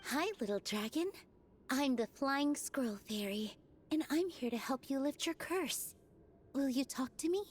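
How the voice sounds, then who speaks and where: A woman's voice: sits high, animated, unhurried, slight background noise. A woman voicing a small, friendly flying squirrel fairy in a video game, curious and helpful in a childlike way. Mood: kind, reassuring. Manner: friendly, childlike, plain-spoken.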